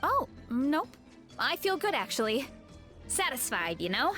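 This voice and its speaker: A sweet young anime game heroine with a high voice that rises and falls freely. She is modest and earnest, and music plays faintly behind her. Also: very animated, a little slow, fairly bright tone, heavily acted. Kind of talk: anime-style video game dialogue.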